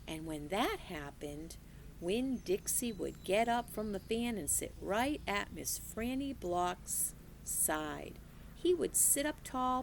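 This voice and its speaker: A woman teacher reading a children's novel aloud in an expressive, unhurried storytelling manner. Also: medium pitch, creaky, a little hiss or hum. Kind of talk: children's book read-aloud.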